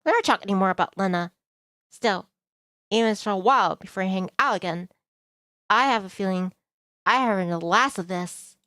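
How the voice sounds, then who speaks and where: A woman's voice: mid-range voice, normal speed, long pauses, bright, forceful tone, dry recording, studio quality. A woman streamer reading a visual novel aloud, mixing narration with casual asides. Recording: music behind the voice.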